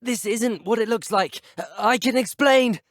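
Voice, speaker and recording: A man's voice: very high-pitched, unhurried, fairly bright tone, no room sound, clean studio sound. A young man with a British accent playing an earnest, polite student at a wizarding school in a video game. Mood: earnest, urgent. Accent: British English. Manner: polite, articulate, well-spoken. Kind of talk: video game dialogue acting.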